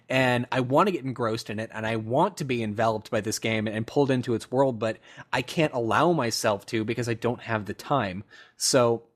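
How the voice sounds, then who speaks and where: A man hosting a podcast in casual chat, musing aloud with fillers and restarts. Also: medium pitch. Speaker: podcast host.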